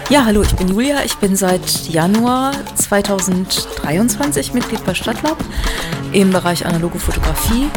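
A woman's voice: mid-range voice, animated, moderate pace, music in the background. A woman speaking casually as an interview guest from an art collective.

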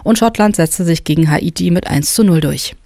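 A man reading a radio news bulletin and the weather in a steady, even delivery. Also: on the high side, moderate pace, creaky, dry recording, clean studio sound.

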